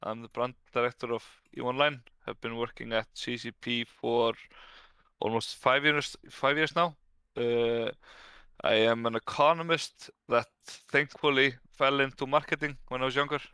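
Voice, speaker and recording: A man's voice: medium pitch, fairly even intonation, moderate pace, pushed and bright, clean tone, dry recording. A man from Iceland, a brand director and guest, answering interview questions in an easygoing way with frequent restarts.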